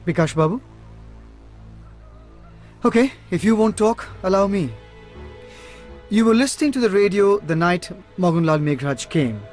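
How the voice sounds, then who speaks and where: Private detective, fairly high voice, very expressive pitch, earnest, probing, radio drama. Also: male voice, moderate pace, long pauses, faint music behind. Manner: probing, intent, articulate. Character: earnest, sharp-minded private detective, protective of companions.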